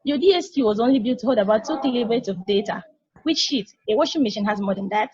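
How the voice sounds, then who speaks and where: A woman's voice: a little high, lively intonation, fast, darkish tone, muffled recording, natural voice. A woman playing a knowing, teasing little-sister space probe in an amateur table read, casual and explanatory. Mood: brisk, wry.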